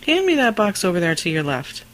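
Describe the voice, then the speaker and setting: A woman acting as a practical, teasing young wife in a radio drama, expressive and playfully incredulous. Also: fairly low pitch, brisk, background noise.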